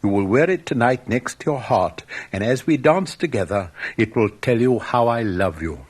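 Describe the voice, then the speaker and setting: Man playing an earnest, pompous young student, animated. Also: mid-range voice, normal speed, husky. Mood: hopeful. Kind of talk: dramatic fairy-tale storybook reading. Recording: music behind the voice.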